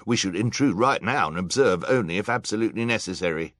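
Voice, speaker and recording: Man putting on loud, excitable, suspicious hotel guests. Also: on the low side, moderate pace, no room sound, studio quality, pitched above the performer's own voice. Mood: bossy, alarmed. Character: agitated, suspicious, gossiping hotel guests in a mob.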